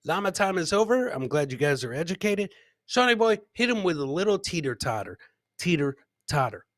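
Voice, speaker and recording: A man's voice: a little high, very animated, moderate pace, no echo. A middle-aged man hosting a live comedy show, bantering casually with chat and repeating phrases like a hype man. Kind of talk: casual livestream banter, reacting to clips and chat. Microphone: close podcast mic on boom, headset.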